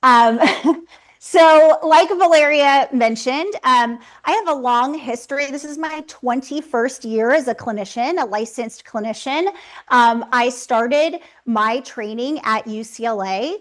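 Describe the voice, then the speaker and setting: A woman in her forties from Los Angeles, giving a seminar talk as a psychologist in a loud, chatty and friendly way. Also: high-pitched, a little slow. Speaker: psychologist presenter. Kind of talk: seminar presentation. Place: seminar room.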